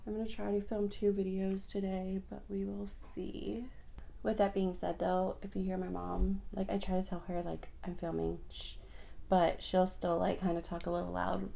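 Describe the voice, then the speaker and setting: Raspy-voiced young woman, chatty morning talk. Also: mid-range voice, little pitch movement, moderate pace, warm tone. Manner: chatty, rambling, filler-heavy. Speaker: beauty vlogger. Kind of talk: casual get-ready-with-me chat.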